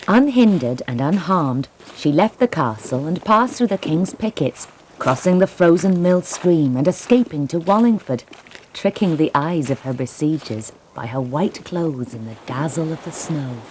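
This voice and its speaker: A woman narrates history and reads a personal letter in a soft, unhurried storytelling manner. Her voice sits fairly low and has a dark, mellow tone, with faint background noise underneath. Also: animated, low-quality recording.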